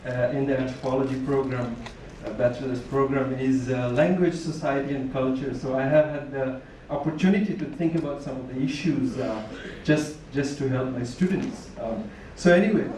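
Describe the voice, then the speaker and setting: A man's voice: medium pitch, moderate pace, hardly any pauses, darkish tone, a little hiss or hum. A Bangladeshi man whose first language is Tripura, giving a formal, thoughtful conference talk as a panel speaker, with frequent restarts. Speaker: panel speaker, university lecturer. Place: large conference hall. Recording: distant echoey hall recording.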